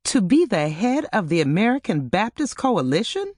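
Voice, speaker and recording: Woman acting animated, insistent, ambitious wife. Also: medium pitch, moderate pace, dry recording, clean studio sound, pitched above the performer's own voice. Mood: puzzled, probing. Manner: questioning, eager, animated.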